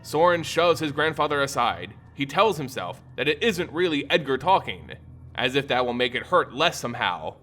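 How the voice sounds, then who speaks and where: A man giving a dramatic, theatrical scripted narration of a fantasy story, with deliberate emphasis. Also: mid-range voice, sing-song, a bit bright. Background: music.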